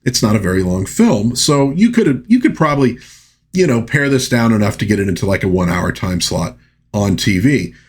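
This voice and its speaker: A man's voice: lowish voice, very animated, a little fast, slightly rough. A man hosting a movie review, recapping and commenting in a conversational, informal and folksy way. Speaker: film review host.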